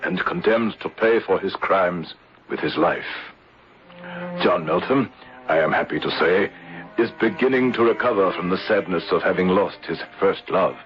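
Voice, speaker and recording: Grave gentleman insurer-narrator, male actor: deep, measured, courteous, vintage radio drama, muffled audio. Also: close to an everyday voice. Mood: reflective. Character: grave, kindly gentleman life insurer narrating his case. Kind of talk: old-time radio drama, storytelling narration. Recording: dull vintage radio recording.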